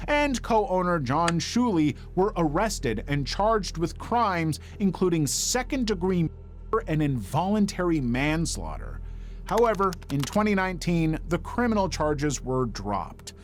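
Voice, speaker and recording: A man's voice: on the high side, a little hiss or hum. A man reading a scripted true-story narration in a dramatic storytelling manner. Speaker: narrator of video documentary. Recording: music under the voice. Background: background music.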